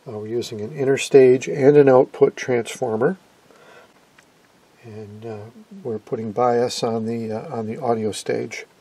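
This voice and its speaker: Husky guy with a low-key mellow tone, casually walking thru his hobby, kinda halting. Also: steady tone, dry recording. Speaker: amateur radio hobbyist presenter. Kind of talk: casual explainer.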